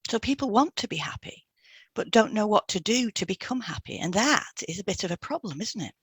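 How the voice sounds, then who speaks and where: A woman's voice: medium pitch, expressive, a little fast, breathy edge, dry recording. A woman reading a scripted educational piece aloud as a podcast co-host.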